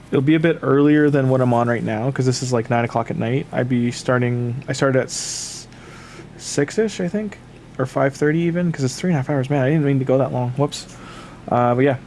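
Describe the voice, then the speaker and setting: A man's voice: mid-range voice, a little hiss or hum. A man streaming a game late at night, rambling casually to his chat with lots of fillers, sniffly from the tail end of a cold. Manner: rambling, filler-heavy, relaxed advice to chat. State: sniffly, getting over a cold. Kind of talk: casual livestream chat with viewers.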